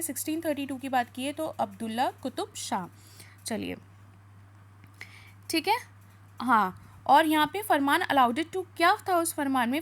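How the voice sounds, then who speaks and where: A woman with an Indian accent speaks in a distinctly high voice. She is a coaching teacher going through exam questions and answers, instructing as she alternates explanation with reading aloud. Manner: instructive, switching between spoken explanation and slower reading aloud. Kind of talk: question-and-answer history lesson.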